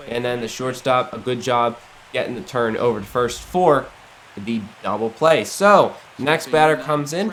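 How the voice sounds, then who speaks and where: A man's voice: mid-range voice, a bit bright. An American man hosting a gaming series, giving casual, rambling, conversational commentary while a bit sniffly from getting over the flu. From Ohio, United States. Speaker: gaming YouTuber, series host.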